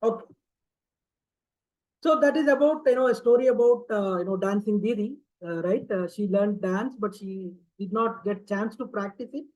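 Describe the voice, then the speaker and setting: A man hosts an online session, talking haltingly with many fillers and tag questions. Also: high-pitched, a little mellow. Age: 50s. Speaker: session host. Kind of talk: online talk to a community group. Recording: dull lo-fi audio.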